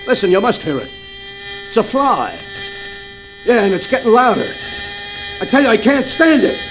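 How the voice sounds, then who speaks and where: A panicky stammering gangster. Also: male voice, sits high, very animated, moderate pace, music in the background, poor audio. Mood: agitated. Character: desperate tormented male gangster. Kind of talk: 1940s radio acting.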